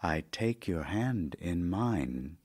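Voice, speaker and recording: An actor playing a middle-aged Russian playwright in an audio drama, wry and lovesick, with theatrical, deliberate pauses. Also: male voice, low-pitched, expressive, darkish tone, heavily acted. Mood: wry, tender. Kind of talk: theatrical audio drama, reading love letters.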